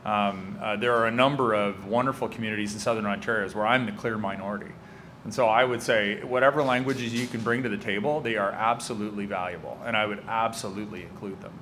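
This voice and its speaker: A seated panelist in his thirties, a native French speaker, giving earnest, emphatic answers in a slightly low voice. He speaks into a table microphone in a university lecture room. A faint hum of room noise sits under him. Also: fairly even intonation, fast talker, fairly bright tone. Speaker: panelist, public servant.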